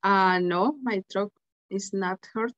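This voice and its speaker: A woman whose first language is Spanish, reading aloud haltingly as a student in an English lesson. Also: mid-range voice, fairly even intonation, a bit bright, dull audio. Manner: slow, halting reading with hesitations.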